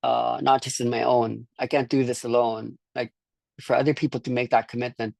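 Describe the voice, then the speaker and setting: A course leader from the Philippines speaking reflectively to a group in a halting, thoughtful way, often repeating words. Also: mid-range voice, little pitch movement, creaky, darkish tone, no room sound. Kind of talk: reflective group discussion talk.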